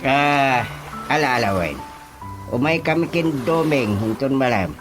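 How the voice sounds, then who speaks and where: An actor playing a village chairman and folk healer giving ritual instructions in a radio drama, deliberate, with drawn-out hums and an Ilocano accent. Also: mid-range voice, animated, close to an everyday voice. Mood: instructing. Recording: faint music behind the voice.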